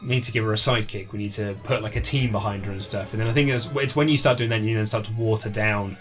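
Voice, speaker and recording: A man in casual conversation as an interview guest, telling things in an animated, storytelling way. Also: lowish voice, quick pace, soft background music. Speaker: interview guest, writer of a book on video game movies. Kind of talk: casual chat.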